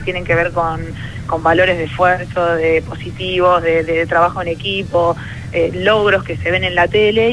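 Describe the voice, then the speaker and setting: A woman's voice: fairly low pitch, a bit bright, slight background noise, low-quality recording. An Argentine woman, a sociologist and field hockey player, answering earnestly in a radio interview with frequent restarts. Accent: Argentine Spanish. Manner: earnest, thoughtful, many restarts and fillers.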